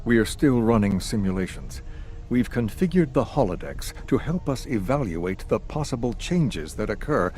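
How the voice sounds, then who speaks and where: Military scientist briefing a superior, male actor: low-leaning voice, formal, matter-of-fact game dialogue, music under. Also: lively intonation, normal speed, a little mellow, close to an everyday voice. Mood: measured. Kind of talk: video game voice acting. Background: background music.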